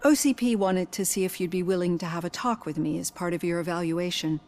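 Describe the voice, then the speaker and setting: A woman's voice: fairly low pitch, a little fast, darkish tone, natural voice. Woman playing an earnest police psychologist. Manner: polite and hesitant. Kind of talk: video game cutscene acting.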